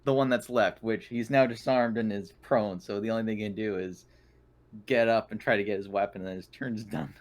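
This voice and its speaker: A man's voice: lowish voice, fairly even intonation, brisk. A man chatting casually as a player at a tabletop roleplaying game, talking through his moves.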